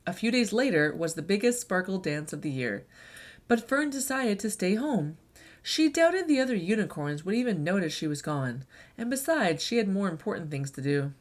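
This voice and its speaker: A woman reading a children's picture book aloud in an expressive storyteller's delivery. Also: medium pitch, moderate pace.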